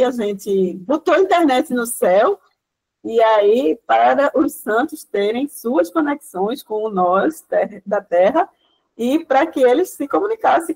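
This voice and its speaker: A woman lecturer with a Pernambuco Brazilian Portuguese accent talks through her material in a loose, chatty way. Her voice sits a little high, and she keeps checking in with tag questions and fixing her own words as she goes. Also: a little mellow, rough recording. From Caruaru, Pernambuco, Brazil. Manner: chatty, many "né" tags and restarts. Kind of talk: informal lecture.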